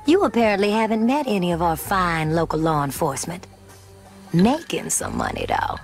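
A woman's voice: fairly low pitch, big pitch swings, moderate pace, faint music behind. An actress playing a tough, sly, street-wise woman who runs a bar, wry and knowing, in a video game. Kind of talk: video game cutscene acting.

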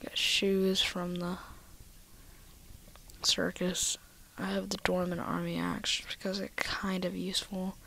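Soft-spoken young woman with a cold. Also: fairly low pitch, monotone, takes it slowly, creaky. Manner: soft, half-whispered listing. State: slightly nasal from a cold. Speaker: gaming video maker. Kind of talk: casual game walkthrough.

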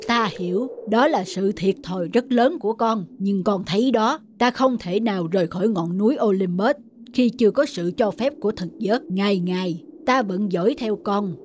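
A woman voicing a majestic, protective father sun god in an animated fairy tale, solemn and admonishing in manner. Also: medium pitch, a little hiss or hum, close to an everyday voice. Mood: tender, explaining. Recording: music behind the dialogue.

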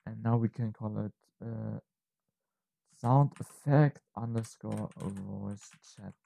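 Slow, mellow-voiced man giving a tutorial. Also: on the low side, creaky. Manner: halting, hesitant step-by-step explaining. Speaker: tutorial presenter. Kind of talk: unscripted screen-recorded software tutorial.

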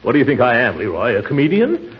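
A pompous, animated old-radio uncle. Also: male voice, mid-range voice, fast. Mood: self-important. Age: middle-aged. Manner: theatrical, blustery, chuckling. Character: pompous, chuckling, vain middle-aged uncle. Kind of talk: 1940s radio comedy acting. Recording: muffled, noisy 1940s radio, audience behind.

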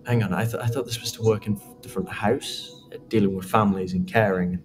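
A man's voice: fairly low pitch, steady tone, quick pace, faint music behind, lightly acted. A man playing a nervous, friendly young job applicant and victim in an analog horror film, with a hesitant, stammering delivery. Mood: uneasy, surprised.

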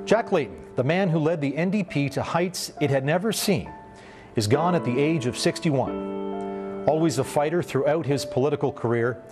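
A man's voice: a little high, lively intonation, moderate pace, music in the background. A middle-aged man presenting scripted news as an anchor in a television studio. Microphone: lapel.

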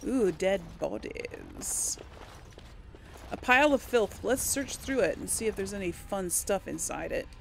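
A woman giving casual let's play commentary and reading game text aloud, with a creaky voice. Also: medium pitch, very animated, a little slow, a little hiss or hum. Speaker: gaming host. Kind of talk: reads game text and dialogue choices aloud.

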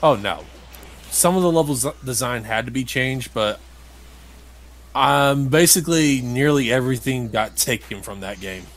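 A man's voice: mid-range voice, expressive, a little slow. Casual, grumbling male gamer. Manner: grumbling, critical, mildly profane. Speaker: let's play host playing the game. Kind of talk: casual game commentary.

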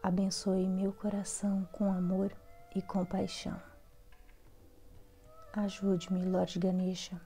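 A Brazilian woman leading a guided meditation as a devotional prayer, in a soft voice that turns breathy at phrase ends, with measured pauses between short phrases. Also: mid-range voice. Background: soft background music.

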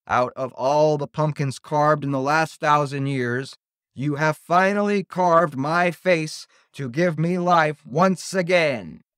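A man voicing an imperious, ancient evil pumpkin demon in a horror story, booming and pompous. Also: fairly bright tone, clean tone, dry recording, clean studio sound. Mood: grand, commanding. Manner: slow, pompous pronouncements.

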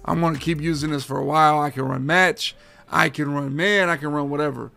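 A man in his thirties, a gaming streamer talking casually and energetically to his viewers, his voice a bit hoarse from overuse. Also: fairly high pitch, brisk, dry recording. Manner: energetic, slangy, addresses viewers as boys and guys. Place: home game room with framed pictures.

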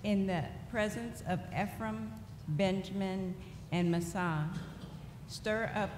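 A middle-aged woman reading scripture aloud at a church lectern in a slow, deliberate way. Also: mid-range voice. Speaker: lay reader. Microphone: lectern microphone. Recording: echoey church hall with faint noise.

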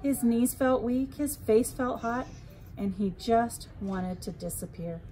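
A woman's voice: on the high side, slow, warm tone, slight background noise. A woman narrating a children's bedtime story expressively, as a librarian would at storytime.

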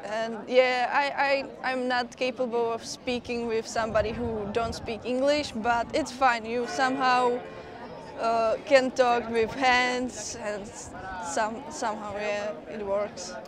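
A young woman from the Czech Republic in her twenties speaks very slowly and hesitantly, at a fairly high pitch. She is outdoors in a city square, and a busy crowd is clearly heard behind her. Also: fairly even intonation. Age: 20s. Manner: hesitant, searching for words. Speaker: interviewed pilgrim. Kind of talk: casual street interview.

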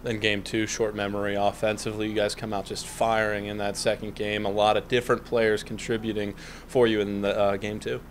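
A young man interviews a coach with a handheld mic in an indoor lounge. His voice sits low and stays flat, and he delivers his questions in a smooth, composed broadcaster manner. Faint room noise is heard behind him. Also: a little fast. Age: young adult. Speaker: sports network interviewer. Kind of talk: scripted sports interview questions. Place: indoor lounge with large windows.

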